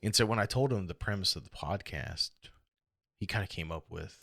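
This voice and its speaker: A middle-aged American man with a hoarse, gravelly voice, talking alone in a reflective, halting and rambling way as a casual podcast host. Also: sits low, fairly bright tone, no room sound, clean studio sound. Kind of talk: casual solo podcast monologue.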